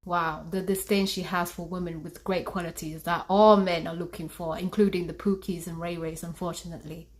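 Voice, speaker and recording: A woman's voice: on the low side, level tone, a little fast, hardly any pauses, low-quality recording. A woman hosting and delivering commentary to her audience. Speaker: host. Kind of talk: video commentary.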